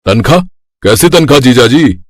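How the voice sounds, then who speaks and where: A man's voice: medium pitch, animated, normal speed, no room sound, studio quality, natural voice. A man voicing a glib, sly village shopkeeper in a cartoon story. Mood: evasive.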